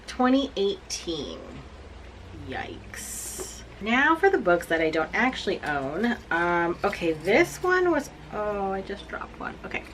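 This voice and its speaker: A woman in her forties recapping books she has read to camera, blunt, animated and self-mocking. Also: medium pitch, takes it slowly, a little hiss or hum, rough recording. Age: 40s. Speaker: book reviewer. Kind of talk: casual book recap to camera.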